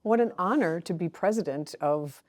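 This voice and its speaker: A woman's voice: fairly low pitch, animated, normal speed, darkish tone. A woman interviewing guests with curious, friendly questions.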